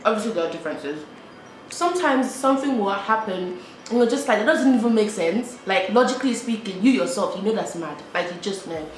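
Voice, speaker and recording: A woman's voice: medium pitch, expressive, a little fast. Chatty young British woman vlogging. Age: young adult. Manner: chatty, repeats herself, frequent "like". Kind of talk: casual chat to camera while eating. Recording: echoey room with faint background hum.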